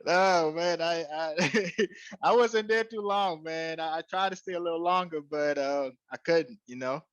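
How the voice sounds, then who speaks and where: A man's voice: fairly high pitch, measured pace, dry recording, muffled recording. Hesitant man with West African-Atlanta accent. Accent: West African mixed with Atlanta. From Guinea, raised in Atlanta. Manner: hesitant, many uhs, laughs easily. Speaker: guest, YouTube creator. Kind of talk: casual interview chat. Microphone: headset on video call.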